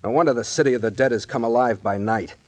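An actor playing a stern, suspicious police captain in 1940s radio style, with a blunt, commanding way of questioning. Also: male voice, mid-range voice, quick pace, a little mellow, no echo, natural voice. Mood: pressing, suspicious.

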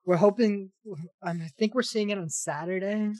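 A man's voice: high-pitched, dry recording. Rambling man in his twenties. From Ontario, Canada. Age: 20s. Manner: rambling, animated, quick to laugh. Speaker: podcast co-host. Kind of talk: casual sports chat.

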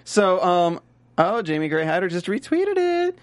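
A man's voice: sits high, big pitch swings, normal speed, no echo. A man from North Carolina co-hosting a casual TV recap, chatty and jokey, with frequent restarts. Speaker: podcast co-host.

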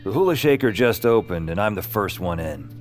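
A man's voice: fairly low pitch, animated, a little fast, close to an everyday voice. An actor playing an anxious night-shift dispatcher, narrating his misadventures in dry, clipped sentences. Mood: sour, wry. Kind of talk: first-person noir-comic audio drama narration. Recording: music under the voice.

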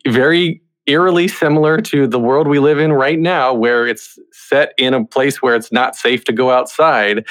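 A man's voice: mid-range voice, fairly bright tone. A man hosting an interview podcast, introducing and questioning in a conversational style. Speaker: podcast host interviewing theater creatives.

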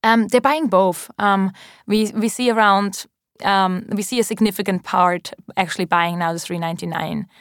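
A woman's voice: medium pitch, fairly even intonation, moderate pace, dry recording, clean studio sound. A woman in her late twenties with a German accent, a company founder answering interview questions earnestly, with frequent ums and restarts. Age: 20s.

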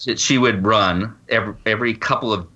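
A man speaking as a guest author in a conversational interview, informal and halting, often breaking off to rephrase. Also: lowish voice, pushed and bright, breathy edge, highs cut off. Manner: halting, informal, frequent self-interruptions and "you know". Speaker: guest author and law professor.

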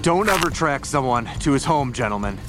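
A man's voice: medium pitch, lively intonation, brisk, heavy background noise, natural voice. Man acting a stern, menacing tough guy. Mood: coldly threatening. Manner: stern, threatening. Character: Blossom, menacing protective man defying his attackers. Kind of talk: audio drama acting.

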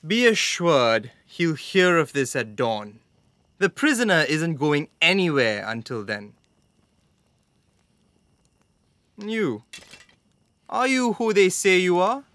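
A man's voice: fairly high pitch, sing-song, slow pace, a bit bright, no room sound, heavily acted. A man playing a stern, scornful young camp guard in an audio drama, with a formal, curt, intense manner.

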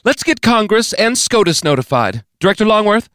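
A man's voice: high voice, big pitch swings, moderate pace, fairly bright tone, dry recording, clean studio sound, voice pushed up. A man voicing a stern, commanding woman president, giving clipped, decisive orders in an audiobook. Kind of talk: audiobook character voice.

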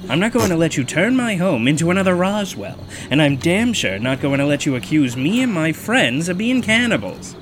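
Animated, indignant man, sarcastic menace. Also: on the high side, normal speed, few pauses, noisy background. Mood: indignant, mocking. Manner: sarcastic, profane, confrontational. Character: indignant, menacing man defending his odd appetite, mocking and defensive. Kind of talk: audio drama acting. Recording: music playing underneath.

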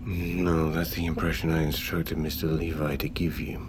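A very low-voiced, stern man in command of an expedition. He speaks formally and deliberately, controlled and exact in every phrase. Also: flat delivery, slight background noise. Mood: controlled, firm. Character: stern, controlled expedition leader, a grieving father with a theory. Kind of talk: audio drama acting.

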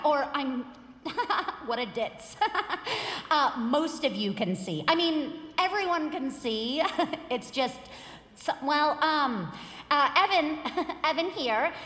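A woman's voice: high voice, fairly bright tone, full character voice. An actress playing a scatterbrained, giggly female talent show emcee in an audio drama, gushing and rambling awkwardly. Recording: echoing hall with crowd.

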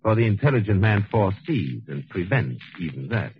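An actor playing a cold, arrogant intellectual murderer in a 1940s radio thriller, speaking in a pompous, measured, condescending way. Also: male voice, lowish voice, very animated. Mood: sinister, insinuating. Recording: dull 1940s radio recording.

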